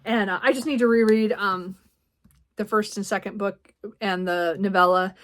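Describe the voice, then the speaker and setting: A woman's voice: medium pitch, steady tone, normal speed. A woman chatting casually as a book vlogger, in a giggly, effusive, rambling way. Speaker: book reviewer and vlog host.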